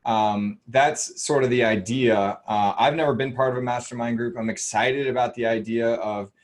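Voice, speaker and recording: A man's voice: mid-range voice, steady tone, moderate pace. A man in commercial real estate introducing himself on a video call webinar, talking earnestly and rambling with many restarts. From Austin, Texas.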